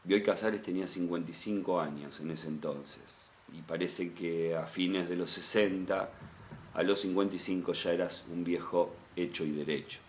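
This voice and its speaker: A man's voice: sits low, fairly even intonation, normal speed. A man with an Argentine accent gives an opinionated podcast monologue in a discursive, professorial way. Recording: faint background noise.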